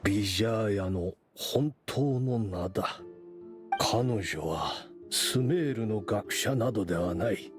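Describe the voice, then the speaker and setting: A man playing a weary, solemn older mentor in a Japanese game, speaking gravely and deliberately, with music clearly behind him. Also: on the low side, expressive. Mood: solemn, remorseful.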